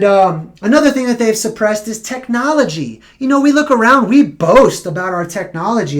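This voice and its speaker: A young man hosting a casual live stream, losing his voice, rambling and correcting himself as he talks. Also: high voice. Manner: rambling, self-correcting, conversational.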